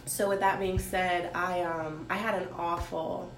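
A woman from Haiti telling her life story in a casual talk, thoughtful and pausing with many ums. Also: flat delivery. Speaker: guest sharing her story. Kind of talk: casual conversation. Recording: roomy with faint rumble.

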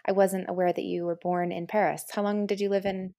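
A woman's voice: mid-range voice, level tone, a little fast, warm tone. A woman co-hosting a podcast, asking curious questions of her guest. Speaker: podcast co-host.

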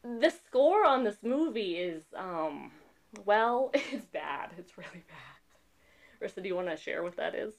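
A woman's voice: mid-range voice, big pitch swings, unhurried. A woman in her thirties co-hosting a casual podcast chat, rambling and laughing often. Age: 30s. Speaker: podcast co-host.

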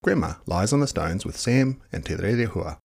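A man reading a children's picture book expressively, dramatic, with hushed and drawn-out words. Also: fairly low pitch, lively intonation, vocal fry. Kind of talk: expressive children's story narration.

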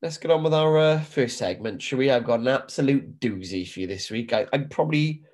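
A man's voice: medium pitch, lively intonation, a little fast, darkish tone. A middle-aged man from the UK with a stuffy nose from hay fever, co-hosting a podcast in casual, chatty talk that is peppered with swearing. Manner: chatty, swears casually, says "bro" and "mate". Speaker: podcast co-host. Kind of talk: casual chat.

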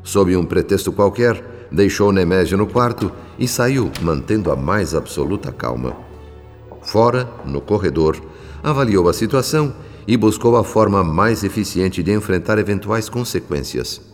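Radio soap narrator: grave benevolent Brazilian male spirit, low-voiced, solemn, deliberate, long reverb. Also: animated. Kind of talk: scripted dramatic narration in a radio soap opera. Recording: long reverb, music underneath.

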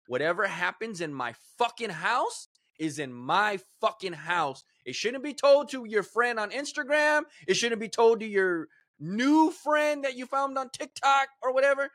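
A man's voice: very high-pitched, lively intonation, measured pace, fairly bright tone, no room sound, studio quality. A man hosting a casual podcast chat, emphatic and given to repeating words as he starts a point. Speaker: podcast host.

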